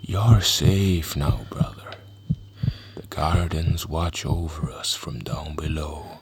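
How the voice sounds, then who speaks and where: A man playing an unhurried village preacher in an audio drama, slow and paternal, soothing yet quietly ominous. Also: extremely low pitch, fairly even intonation, gravelly, breathy edge, full character voice. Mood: calm, ominous. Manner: slow, deliberate, paternal, calls the listener brother.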